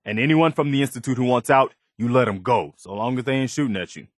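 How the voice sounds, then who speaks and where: An actor playing an earnest, principled militia companion in a post-apocalyptic video game, sincere and plainspoken with folksy turns of phrase. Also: male voice, mid-range voice, lively intonation, fast talker, dry recording, clean studio sound, close to an everyday voice. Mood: steady, sincere.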